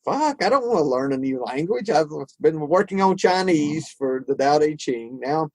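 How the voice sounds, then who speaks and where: A man's voice: a little high, expressive, normal speed, a little mellow, no echo. Reflective man, dry close sound. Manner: reflective, thinks aloud with frequent restarts. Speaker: podcast co-host. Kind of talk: casual conversation on recovery and spirituality.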